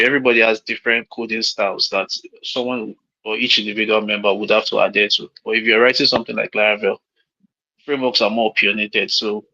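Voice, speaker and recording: A man's voice: on the low side, pushed and bright, husky. Frank Nigerian man giving career advice. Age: late 30s. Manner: frank, rambling, repeats himself with restarts. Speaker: mentor hosting a call. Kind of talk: casual advice on a call. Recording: lo-fi call audio.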